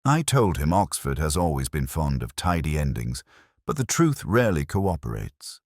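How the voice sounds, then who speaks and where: Very deep-voiced man narrating. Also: big pitch swings, darkish tone, no echo, studio quality. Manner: measured, reflective, solemn. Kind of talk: scripted first-person detective narration.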